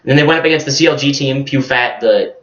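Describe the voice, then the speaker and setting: A man's voice: mid-range voice, fast talker, fairly bright tone. A man co-hosting a casual esports recap, talking like an enthusiastic fan with slangy exclamations. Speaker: co-host of an esports recap show. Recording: roomy, distant mic, dull lo-fi sound.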